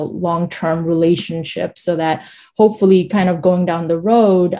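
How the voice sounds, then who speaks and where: A woman's voice: fairly low pitch, mellow. A young woman from Nepal, a former student guest, speaking upbeat and enthusiastic about her plans.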